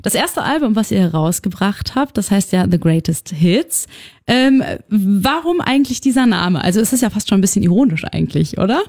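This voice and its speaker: A woman's voice: medium pitch, expressive, normal speed, a little mellow, no echo. Chatty woman radio host, dry studio. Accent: Cologne. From Germany. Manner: upbeat, chatty. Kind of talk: radio interview. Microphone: studio mic.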